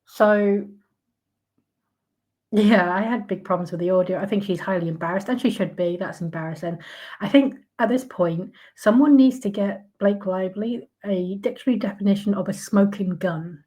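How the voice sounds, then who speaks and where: Croaky-voiced woman ranting. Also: mid-range voice, moderate pace, warm tone. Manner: outraged, sarcastic, with frequent fillers and restarts. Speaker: commentary vlogger. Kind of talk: casual ranting commentary.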